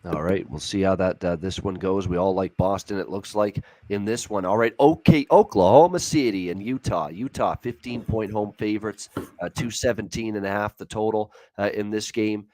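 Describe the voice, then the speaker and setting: Low-voiced man hosting sports talk. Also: moderate pace, few pauses, darkish tone, dry recording. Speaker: host of a sports betting show. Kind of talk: casual sports betting commentary.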